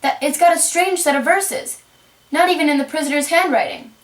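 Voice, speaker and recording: A woman's voice: high-pitched, animated, fairly quick, voice pushed up. A woman voicing an officious, deferential rabbit herald in an amateur puppet show, reciting formally. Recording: roomy, distant microphone.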